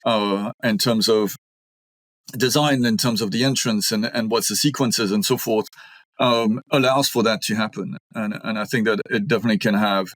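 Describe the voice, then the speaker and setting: Belgian-accented man, thoughtful and halting. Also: lowish voice, fairly even intonation, fairly bright tone, dry recording. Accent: Belgian French. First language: French. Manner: thoughtful, many ums and restarts. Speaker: architect guest. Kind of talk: conversational interview.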